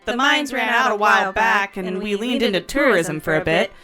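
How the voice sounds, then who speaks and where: No-nonsense female diner waitress, bright and lively, folksy, takes charge; comedy audio drama. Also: mid-range voice, soft background music. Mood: chatty, wry. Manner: folksy, brisk, calls people hun. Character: sassy, bossy alien diner waitress, no-nonsense.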